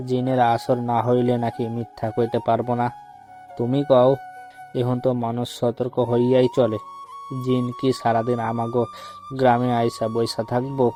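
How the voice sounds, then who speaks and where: Male actor as crooked, resentful villager in audio drama, rural Bangladeshi dialect, dark monotone delivery. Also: medium pitch, unhurried, faint music behind, lightly acted. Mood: scheming, resentful. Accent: rural Bangladeshi Bengali dialect. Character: scheming, crooked village man, grumbling conspirator. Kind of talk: audio story acting.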